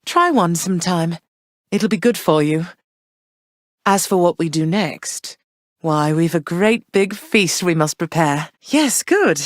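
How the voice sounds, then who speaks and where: An actress playing a warm yet firm, gently wry mother goddess in a video game, speaking in measured, formal, old-fashioned phrases. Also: on the low side, animated, no echo, studio quality. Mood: warm, encouraging.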